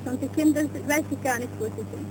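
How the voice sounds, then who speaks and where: A woman tells her own account as a refugee for a 1930s newsreel. Her voice is high. The old recording hums and is clearly noisy. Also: fairly quick. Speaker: refugee giving testimony. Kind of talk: personal testimony for a newsreel.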